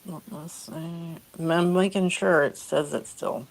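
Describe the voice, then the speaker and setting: A woman's voice: on the low side, fairly even intonation, measured pace, vocal fry, poor audio. A woman talking casually and bluntly as a co-host on a live stream, unfiltered in her way of speaking. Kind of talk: casual chat.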